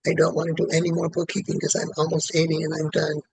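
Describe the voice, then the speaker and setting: A man in his late seventies, a client in a tapping session, who repeats one statement over and over in an even rhythm. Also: fairly high pitch, fast, gravelly, slightly rough, no echo. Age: 70s.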